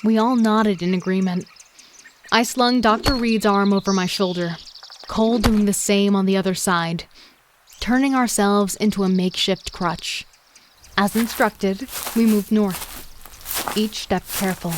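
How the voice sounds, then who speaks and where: A woman narrating a first-person survival horror story in a measured, grave manner. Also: medium pitch, a little hiss or hum. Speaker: narrator and lead character.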